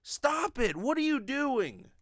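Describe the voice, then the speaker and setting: Male comedy host, incredulous ranter, very lively intonation, dry close-miked home recording. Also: very high voice, slow, dry recording. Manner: incredulous, ranting. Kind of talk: casual comedic commentary with swearing. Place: small home bedroom. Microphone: desk microphone with pop filter, close.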